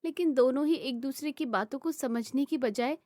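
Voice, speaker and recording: Concerned young sister, family cartoon peacemaker, played by a woman; high pitch, measured delivery. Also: warm tone, no room sound, natural voice. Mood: worried. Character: worried young sister trying to make peace. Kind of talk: Hindi animated family drama acting.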